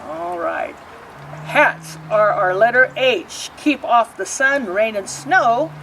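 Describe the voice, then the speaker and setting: A woman's voice: fairly high pitch, animated, slow pace, fairly bright tone, far from the mic, a little hiss or hum, rough recording. Woman reading a picture book from afar. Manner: sing-song rhyming reading with asides explaining pictures. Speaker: storybook reader.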